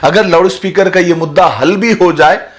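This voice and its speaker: Casting: male commentator, noticeably high voice, earnest sermon-like address, measured and emphatic delivery. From India. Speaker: social commentator speaking to his video audience. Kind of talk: earnest explanatory talk, sermon-like.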